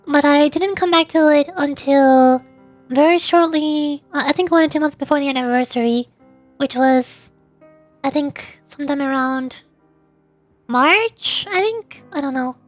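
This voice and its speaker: A high-pitched woman talking casually on a livestream, rambling from one thought to the next and replying to her viewers as she goes. Faint music plays quietly behind her voice. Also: unhurried, no echo. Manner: rambling, chatty, answers viewers' questions. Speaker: streamer.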